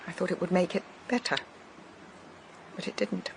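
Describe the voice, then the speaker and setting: An actress playing a frail, loving elderly woman near death in a radio drama, speaking in soft, halting, tender phrases. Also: lowish voice, fairly even intonation, gravelly, a little hiss or hum. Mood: rueful. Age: older. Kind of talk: BBC radio drama acting.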